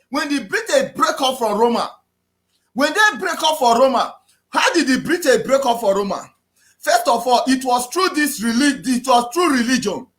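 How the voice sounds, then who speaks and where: A man with a Nigerian accent giving an impassioned political talk, repeating himself and often raising his voice to a shout. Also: very high voice. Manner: preachy, repetitive, often shouting. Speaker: activist speaker.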